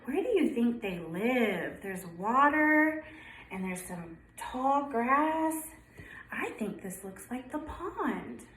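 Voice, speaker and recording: A woman's voice: a little high, expressive, slow, fairly bright tone, slight background noise. A woman preschool teacher reading a picture book aloud to young children, prompting with questions and sing-song counting. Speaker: preschool story-time teacher. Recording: roomy echo.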